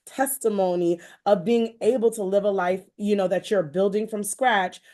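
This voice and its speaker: A woman's voice: mid-range voice, fairly even intonation, normal speed, dark tone. A woman hosting a motivational, faith-based conversation, talking in an encouraging, emphatic way and often repeating phrases. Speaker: podcast host.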